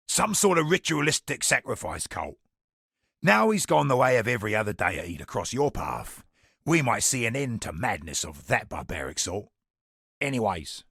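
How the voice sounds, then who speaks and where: Husky trader from a fantasy game, roguish n wry, voice goes up and down a lot. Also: male voice, medium pitch, sharp tone, dry recording, clean studio sound, exaggerated voice. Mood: wry, jaunty. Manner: colloquial, wry storytelling with British slang. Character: roguish, chatty male merchant, wry and worldly. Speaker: merchant character in a fantasy video game. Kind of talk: scripted video game character dialogue.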